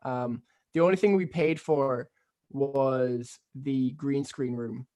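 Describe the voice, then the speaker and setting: A young man from Ireland with a softened Cork accent leaning American, rambling casually through an interview with lots of fillers and restarts. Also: mid-range voice, fairly even intonation, slow pace. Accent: neutral Cork Irish with American influence. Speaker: musician guest.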